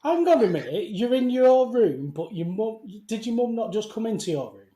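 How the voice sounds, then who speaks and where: Male co-host, high voice, teasing banter, roomy echo. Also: very animated, mellow. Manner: teasing, laughing, quick interjections. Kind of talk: casual banter on a live online show.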